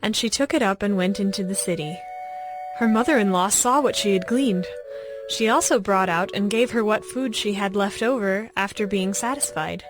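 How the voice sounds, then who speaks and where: A woman's voice: medium pitch, moderate pace. Woman narrating scripture over music.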